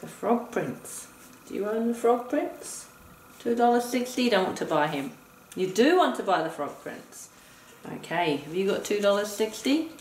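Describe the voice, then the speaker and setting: A woman gives an instructional play-through of a board game, guiding her partner one step at a time. Her medium-pitched voice sits back from the mic in a roomy indoor space. Faint noise runs behind her. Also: very animated, moderate pace, recorded from a distance. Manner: explains step by step, counting aloud. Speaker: teacher demonstrating a board game. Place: indoor room, game on a table.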